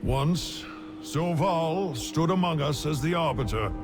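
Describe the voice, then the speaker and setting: An actor playing a solemn, ancient godlike leader in an epic fantasy game, speaking with deliberate command. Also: male voice, a little high, expressive. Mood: grave recollection. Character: grave, ancient godlike male leader of the dead. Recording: clear music bed behind voice. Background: game score music.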